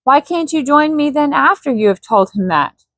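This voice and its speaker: A brave young lady pleads earnestly with a companion, as a woman reads her lines. Her voice is higher than most and sounds dry and close. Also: animated, normal speed, fairly bright tone, no room sound. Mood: pleading. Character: young gentle English woman, devoted and brave.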